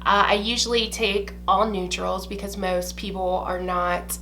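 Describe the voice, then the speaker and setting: A woman's voice: mid-range voice, fairly even intonation, a little slow, bright, forceful tone, a little hiss or hum. Chatty swearing Ohio woman vlogger. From Ohio, USA. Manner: chatty, blunt, swears casually, drawls "girl" and "y'all". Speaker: beauty vlogger. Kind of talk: casual chat to camera. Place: small indoor room with shelves behind.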